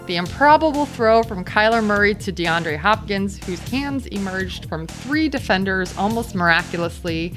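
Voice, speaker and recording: Calm-voiced woman co-hosting a sports podcast. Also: medium pitch. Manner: calm, friendly, measured. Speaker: podcast co-host. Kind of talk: casual sports podcast chat.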